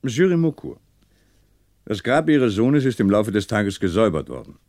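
An actor playing a composed, wry middle-aged police commissioner in a 1960s German radio crime play, polite and probing. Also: male voice, lowish voice, very animated, moderate pace, darkish tone, no room sound, clean studio sound. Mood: courteous, probing.

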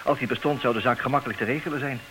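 A man acting in a comedic radio play as a formal, businesslike suitor, stubborn and dryly exasperated, speaking with measured, theatrical emphasis. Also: mid-range voice, a bit bright, close to an everyday voice. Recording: noisy, hissy old radio recording.

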